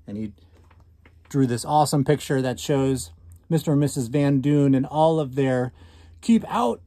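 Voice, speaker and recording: Expressive American man reading aloud, dry sound. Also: mid-range voice, no room sound. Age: 30s. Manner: expressive, drawn-out emphasis on key words. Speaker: teacher reading a picture book aloud. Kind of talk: read-aloud with commentary and questions for children. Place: small indoor room at home.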